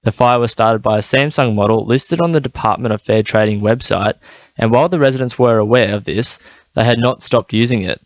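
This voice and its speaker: A man's voice: mid-range voice, brisk, muffled recording. A man with an Australian accent reading scripted radio news bulletins. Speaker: radio newsreader.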